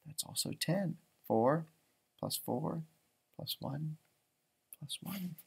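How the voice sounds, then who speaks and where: American guy doing a kids read-aloud, really lively swoopy delivery, chats about the pictures. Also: a little high, slow pace, vocal fry, breathy edge. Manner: animated, commenting on pictures. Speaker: storybook reader for schoolchildren. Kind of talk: expressive children's read-aloud with chatty asides. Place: indoors, small library room.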